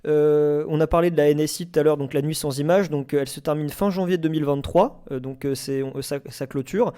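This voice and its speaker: A man hosting a casual live podcast debate, speaking in hesitant, halting phrases. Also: fairly high pitch, steady tone, dark tone, clear, resonant tone. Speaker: podcast host.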